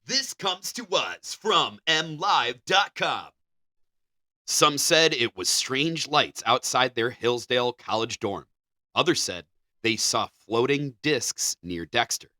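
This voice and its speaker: Male co-host with a crisp, bright edge, reading stories aloud in a conversational way. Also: mid-range voice, animated, slow pace, dry recording. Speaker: podcast co-host. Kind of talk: casual chat and reading news articles aloud.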